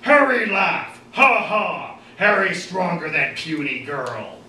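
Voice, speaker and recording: A man voicing a hulking, dim-witted super bully who bellows loudly in broken caveman-like sentences. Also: sits high, expressive, takes it slowly, slight background noise, pitched above the performer's own voice, exaggerated voice. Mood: boastful taunting. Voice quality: loud, shouted. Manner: clipped caveman-like speech, dropping words. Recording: roomy, distant mic.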